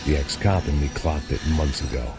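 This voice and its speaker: An actor playing a weary, cynical middle-aged ex-cop, narrating in a gruff, deadpan way over game music. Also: male voice, very deep voice, normal speed. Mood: bitter. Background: game score music.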